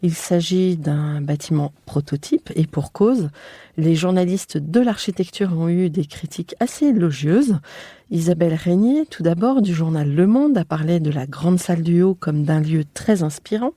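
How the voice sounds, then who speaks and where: A woman's voice: lowish voice, normal speed, mellow, no room sound, studio quality. A woman hosting a podcast, interviewing guests in a conversational style.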